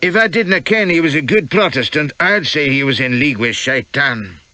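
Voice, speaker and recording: A man voicing a gruff, pious, sardonic Scottish sea captain in an audiobook, with a growl in the voice. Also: on the high side, animated, normal speed, bright, forceful tone, no echo, pitched above the performer's own voice. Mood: grudging, gruff.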